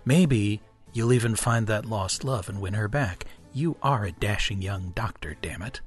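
A man reading a parody advertisement voiceover in a smooth, sultry pitch. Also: measured pace, soft background music.

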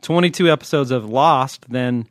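An American man talks casually as a podcast host, with a wry and joking manner. His voice sits at a middling pitch, recorded close and dry with no room sound. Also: moderate pace, no echo. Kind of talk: casual, unscripted podcast chat answering listener questions.

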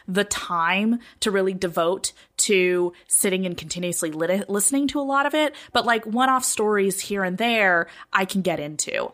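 A woman's voice: mid-range voice, a bit bright. A woman talking casually and enthusiastically as a podcast panelist, in a chatty way.

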